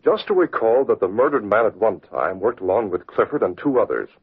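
An actor playing a decisive, authoritative masked crime-fighter in an old radio drama, with clipped delivery. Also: male voice, on the low side, lively intonation, a little fast, slightly rough, exaggerated voice. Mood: thoughtful. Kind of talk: 1940s radio drama acting. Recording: tinny, scratchy old radio recording.

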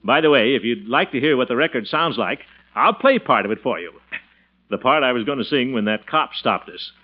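A man's voice: medium pitch, expressive, brisk, breathy edge. An actor playing a pompous, jovial, easily flustered middle-aged man in a blustery 1940s radio comedy style. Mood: folksy, cheerful. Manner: blustery, chuckling, theatrical delivery. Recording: muffled vintage radio recording with music.

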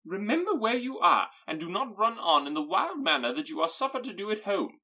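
A man voicing a fussy, excitable middle-aged mother, shrill and gushing, in an audiobook. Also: normal speed. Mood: scolding.

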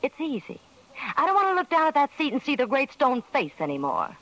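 An actress playing a tough, defiant carnival dancer in an old-time radio crime drama, snappish and slangy. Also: female voice, high voice, wildly swooping pitch, a little fast, fairly bright tone, full character voice. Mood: suspicious, curt. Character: hard-boiled, defiant female carnival show dancer under suspicion. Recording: noisy vintage radio recording.

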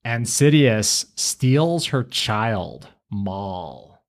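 A man's voice: lowish voice, very animated, extremely slow. Male podcast host in analytical discussion.

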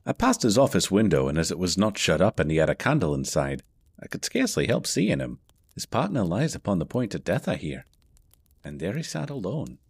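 Very animated family father. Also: sits low, fairly quick, gravelly, slightly rough, no echo, clean studio sound, full character voice. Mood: confiding. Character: jovial, affectionate family father at Christmas. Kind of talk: audio drama acting.

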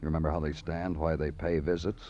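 An actor playing a laconic police detective who questions in a clipped, dogged way, in old-time radio drama style. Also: male voice, very deep voice, little pitch movement, quick pace, mellow, a little hiss or hum. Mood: dry, pressing. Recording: muffled vintage radio recording, music behind.

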